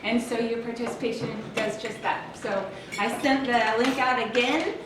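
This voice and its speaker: A woman's voice: fairly high pitch, fairly even intonation, normal speed. A woman making an earnest, heartfelt appeal to a club meeting. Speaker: club member. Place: banquet room with dishes clinking. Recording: echoey room from far off, loud noise.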